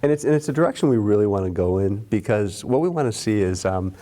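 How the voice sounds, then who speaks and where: A man's voice: on the low side, animated, fast talker, warm tone. A man from the local school system talking earnestly about programs, often restarting his sentences. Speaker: school administrator guest. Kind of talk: casual chat.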